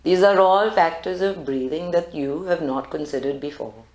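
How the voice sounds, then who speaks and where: A woman guiding a live group meditation in a calm, deliberate, instructive way. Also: low-pitched. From South Africa. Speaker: meditation guide. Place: indoor group meditation room. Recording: roomy live recording.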